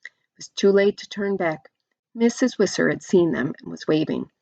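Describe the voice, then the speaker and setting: A woman's voice: lowish voice, normal speed, creaky, mellow, dry recording, dull audio. A woman reading a children's storybook aloud expressively, like a teacher to young listeners.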